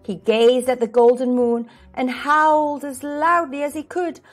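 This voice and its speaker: A woman reading a children's storybook aloud as host, expressively and with deliberate enunciation. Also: high-pitched, flat delivery, faint music behind.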